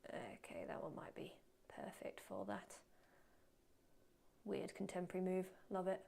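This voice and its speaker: Chatty woman with a gravelly voice. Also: fairly low pitch, animated, measured pace, whispered. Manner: chatty, self-deprecating, mutters quietly to herself while working. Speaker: photography instructor. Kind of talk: live webinar teaching. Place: indoors at a computer.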